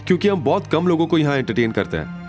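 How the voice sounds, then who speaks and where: A man's voice: a little high, very animated, fast, darkish tone, soft background music, pitched above the performer's own voice. A man voicing a condescending saleswoman who sounds dismissive behind a fake politeness. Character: snooty saleswoman, adult female, condescending.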